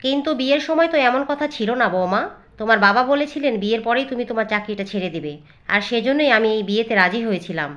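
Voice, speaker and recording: A woman's voice: a little high, animated, fast talker, fairly bright tone, highs cut off. A woman voicing a stern, scheming middle-aged mother-in-law in a moral-story cartoon, complaining and reproachful.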